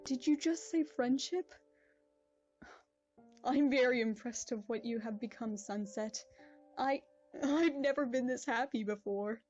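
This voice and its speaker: A woman voicing a calm, kindly princess who speaks in a measured, formal way. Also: on the high side, highs cut off, faint music behind.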